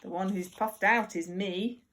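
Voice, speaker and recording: A woman reading a picture book aloud to young children like a primary teacher, expressive and deliberate, with chatty asides. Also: medium pitch. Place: at home.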